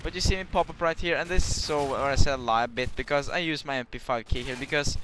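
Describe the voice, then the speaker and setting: A man's voice: medium pitch, quick pace. A young man with a Norwegian accent giving casual, rambling commentary over his gameplay, swearing now and then. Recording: clear background hiss.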